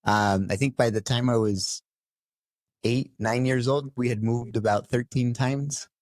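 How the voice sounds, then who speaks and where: A middle-aged man from Costa Rica telling his life story as a podcast guest, halting and reflective. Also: mid-range voice, fairly even intonation, darkish tone, no echo. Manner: halting, many fillers and restarts. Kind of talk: interview storytelling.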